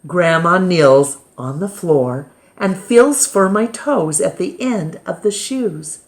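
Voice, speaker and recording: A woman reading a children's picture book aloud as storytime reader, with dramatic pauses and emphasis. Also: lowish voice, lively intonation.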